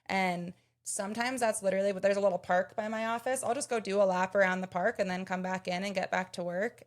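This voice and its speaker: Gravelly-voiced woman in her thirties. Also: mid-range voice. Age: 30s. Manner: earnest, explains with examples. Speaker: podcast co-host. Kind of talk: casual chat.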